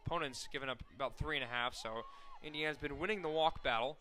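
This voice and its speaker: Bright-voiced radio play-by-play man. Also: mid-range voice, fast. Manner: steady, fact-filled call that rises sharply on big plays. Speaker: play-by-play announcer.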